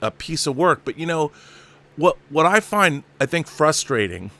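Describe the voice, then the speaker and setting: A man's voice: fairly high pitch, big pitch swings, normal speed, pushed and bright. A middle-aged man hosting a casual interview, speaking in an enthusiastic, emphatic and rambling manner. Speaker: talk show host, interviewer.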